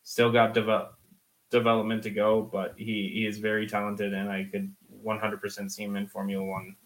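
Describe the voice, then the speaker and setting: A man's voice: fairly low pitch, completely flat delivery, a little fast, rough recording. Chatty man, casual explainer. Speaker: sports podcast co-host. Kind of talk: casual motorsport discussion.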